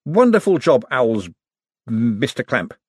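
A glib, fast-thinking rogue who talks his way through everything, as a male narrator puts him on. His delivery swoops up and down with animation. The voice is husky and dry. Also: medium pitch, sing-song, a little mellow, dry recording, pitched above the performer's own voice, heavily acted. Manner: smooth and persuasive. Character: glib, fast-thinking male con man turned banker.

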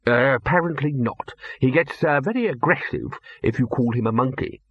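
A man's voice: medium pitch, moderate pace, slightly rough, dry recording, dull audio, natural voice. A man reading an audiobook voices a nervous, fussy male college bursar who stammers and placates. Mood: placating. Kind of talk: audiobook character voice.

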